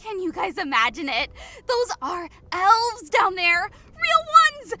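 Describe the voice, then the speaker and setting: A woman playing a bubbly, gleefully bloodthirsty young female commando who gushes excitably in an audio story. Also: very high-pitched, slow pace, noisy background, exaggerated voice. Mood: excited. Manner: gushing, excitable. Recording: music under the voice.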